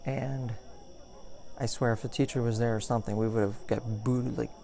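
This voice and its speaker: A man as a gravelly, caring young boyfriend. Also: medium pitch, steady tone, mellow, close to an everyday voice. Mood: amused, chatty. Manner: rambling, halting, chuckling storyteller. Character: caring, goofy young boyfriend comforting his partner. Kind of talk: intimate boyfriend roleplay ASMR. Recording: music playing behind the voice.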